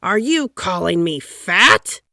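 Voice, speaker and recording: A woman's voice: fairly low pitch, sing-song, slow pace, pushed and bright, dry recording, clean studio sound, heavily acted. A woman voicing a huge, blustering, exasperated male president from a children's storybook, emphatic and bellowing.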